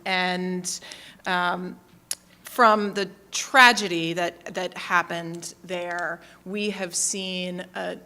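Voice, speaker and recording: A woman with a somewhat low voice moderates a conference panel. She builds her questions slowly, pausing often and filling the gaps with "um". Her tone stays even as she hands the floor to a panelist. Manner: halting, many "um"s while framing questions. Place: conference hall.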